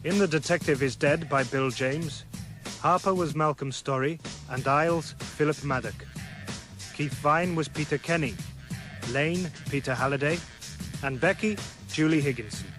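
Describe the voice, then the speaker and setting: A man's voice: fairly high pitch, normal speed. A man reading scripted closing credits as a radio drama announcer. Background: music.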